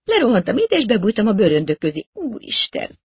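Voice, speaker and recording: A man voicing a frightened, breathless young woman pilot in an audiobook, talking in a high, excited rush. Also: husky, no echo, studio quality, exaggerated voice. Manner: high, excited, rushed pleading.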